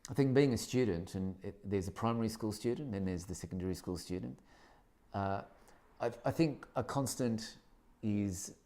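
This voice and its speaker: A man's voice: low-pitched, dark tone. A man in his fifties with an Australian accent, a secondary school teacher speaking thoughtfully in an interview, often restarting his sentences. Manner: thoughtful, measured, with frequent restarts. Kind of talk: reflective interview testimony.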